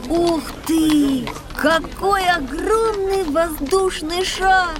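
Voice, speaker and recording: A woman playing a curious, boastful young schoolboy in a children's educational audio drama, speaking in an eager, expressive way. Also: extremely high pitch, extremely slow, full character voice. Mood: amazed.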